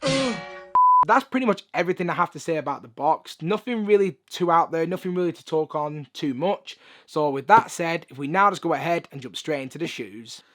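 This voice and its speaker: A hoarse man, chatting upbeat through a sneaker review in a room full of shoes. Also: fairly high pitch, expressive, normal speed, sharp tone. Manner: chatty, upbeat, lots of filler phrases. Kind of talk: casual video review. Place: room with shoe collection.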